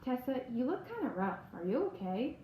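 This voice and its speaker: A woman voicing a weary but sweet, indulgent mother in a storybook, gentle and lilting. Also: on the high side, very animated, normal speed, lo-fi sound. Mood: concerned. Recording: roomy echo, faint background noise.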